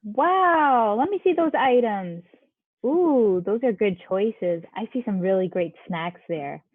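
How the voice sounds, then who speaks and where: A woman's voice: medium pitch, lively intonation, takes it slowly, no room sound. A woman leading a children's activity, giving simple instructions and encouragement to young kids. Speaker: children's game leader. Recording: dull, lo-fi, dry audio.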